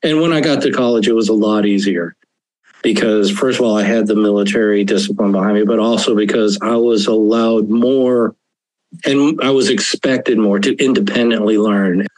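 A man's voice: on the low side, normal speed, a little mellow, dry recording, poor audio. A man in his fifties, an author being interviewed, talking earnestly and chuckling often. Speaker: author guest. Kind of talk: conversational interview.

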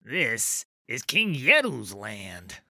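A man voicing a dim, rough-spoken male troll who wheezes and gasps out his words, in a lively pitch that swings widely. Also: extremely slow, no room sound, clean studio sound, heavily acted. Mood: gasping.

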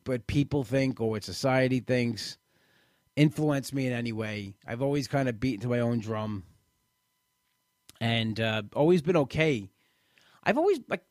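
A man in his late thirties sharing his weight-loss journey in a halting, personal way, often restarting and repeating words. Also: mid-range voice, a little mellow, no echo, studio quality. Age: late 30s. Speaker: weight-loss program member. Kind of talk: personal testimonial talk.

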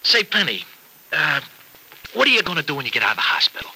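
An actor playing a kindly, uneasy young friend, slangy and earnest, in 1940s radio soap opera style on a noisy old recording. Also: male voice, fairly high pitch, very animated, fast, sharp tone, heavily acted. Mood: reassuring. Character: kindly, uneasy young male friend, plain-spoken and protective.

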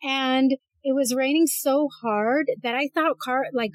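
A woman in her forties hosting a casual podcast chat, telling stories with lively acted-out quotes. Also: high-pitched, measured pace, dry recording. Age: 40s. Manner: animated storyteller, acts out quotes. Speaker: podcast host.

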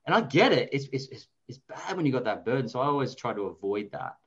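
A man's voice: mid-range voice, very animated, fast, fairly bright tone, slightly rough, lo-fi sound. A man in his thirties with an Australian accent, talking casually and anecdotally as an interview guest. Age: 30s. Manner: chatty, informal, anecdotal. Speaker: interview guest, investor and author.

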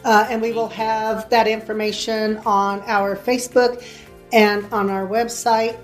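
A woman's voice: on the high side, flat delivery, unhurried, faint music behind. A woman hosting an interview, chatty and encouraging, often affirming her guest. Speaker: show host. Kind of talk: interview chat.

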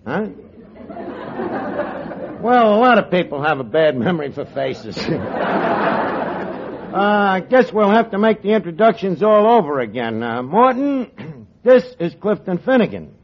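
An actor playing a brash, slangy, wisecracking tavern manager in a 1940s radio comedy. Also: male voice, high voice, very animated, unhurried, pauses often, crowd noise, noisy background, exaggerated voice. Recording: dull old radio broadcast, audience laughter.

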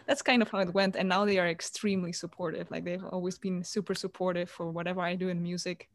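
A woman's voice: on the low side, fairly even intonation, brisk, no room sound. Woman guitarist chatting on a video call, dry sound, stops and restarts a lot. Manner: chatty, hesitant restarts, warm about family. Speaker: guitarist guest. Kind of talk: casual interview over video call. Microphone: computer on video call.

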